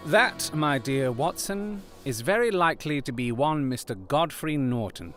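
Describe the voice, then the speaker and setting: Victorian detective man, theatrical and formal, voice a bit high, music behind, radio play style. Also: lively intonation, a bit bright. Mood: grave, calculating. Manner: theatrical, deliberate, formal diction. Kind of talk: period audio drama acting.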